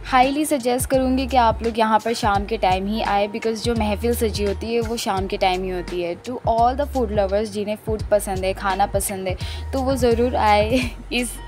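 A woman's voice: mid-range voice, fairly even intonation, a little slow. A young Indian woman narrating a casual travel and food vlog, slipping English phrases into her speech. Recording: music loud behind the voice. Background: loud music.

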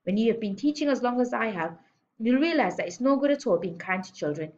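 A woman's voice: on the high side, lively intonation, fast, darkish tone, dull audio, voice pushed up, lightly acted. A woman voicing a domineering, contemptuous headmistress who barks and sneers in a children's storybook reading. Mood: scornful. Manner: barking, scolding, sneering insults. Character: domineering, bullying adult headmistress, contemptuous and loud. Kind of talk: children's storybook read-aloud.

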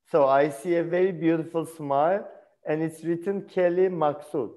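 A man with a fairly high, mellow voice leads a casual online seminar. He asks questions and encourages the others as he goes, speaking over a video call from an indoor room. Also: measured pace. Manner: encouraging, inquisitive. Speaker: instructor leading an online seminar. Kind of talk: casual class discussion.

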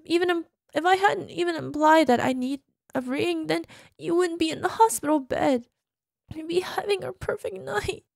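Woman acting a high-voiced obsessive yandere girlfriend. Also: a little mellow, dry recording, studio quality. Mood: tearful guilt. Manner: dramatic, drops into breathy whispers, cloying pet names. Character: obsessive yandere girlfriend, sweetly menacing, unstable, easily panicked. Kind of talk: ASMR girlfriend roleplay.

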